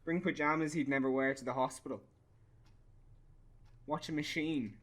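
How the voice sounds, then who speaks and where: A man plays a young Irish father consumed by grief and bitterness. His voice sits fairly high for a man. He speaks haltingly and swears, with an accusing edge, in a naturalistic radio drama. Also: fairly even intonation, fairly bright tone, natural voice. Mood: raw, incensed. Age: young adult. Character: grieving, bitter, furious bereaved young father. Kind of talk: naturalistic radio play acting.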